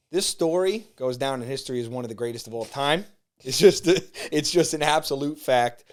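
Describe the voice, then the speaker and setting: Male host, 30s, mid-range voice, excitable and gushing, studio podcast. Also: medium pitch. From New Jersey shore. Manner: hyped, enthusiastic, talks in superlatives. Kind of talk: casual podcast chat.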